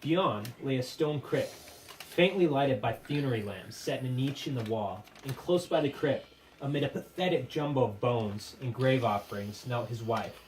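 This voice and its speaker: Man, mid-pitched voice, theatrical scripted narration, measured literary delivery, distant microphone. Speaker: puppet show narrator. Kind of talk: dramatic storytelling, scripted narration. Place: live puppet show performance, room. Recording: distant microphone with faint room noise.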